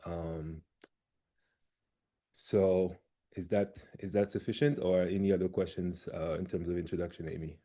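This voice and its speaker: A man from Cameroon leads a video call, and his voice sits low with a dark, mellow tone. He talks in a halting way, full of "um"s and restarts, as he presents his research. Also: fairly even intonation. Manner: halting, many fillers and restarts.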